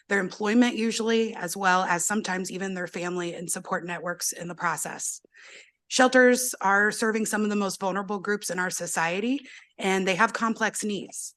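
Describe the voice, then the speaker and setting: Brief: hoarse woman witness, earnest, straining to speak up in a hearing room. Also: medium pitch, fairly bright tone. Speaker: advocate witness. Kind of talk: formal testimony. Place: legislative committee hearing room.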